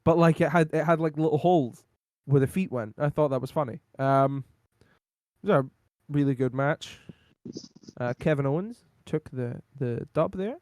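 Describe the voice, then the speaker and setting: Hoarse guy rambling on a podcast, lots of ums, dead dry sound. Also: on the high side, normal speed, darkish tone, no echo. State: hoarse, losing his voice. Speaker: podcast co-host. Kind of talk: casual wrestling recap chat.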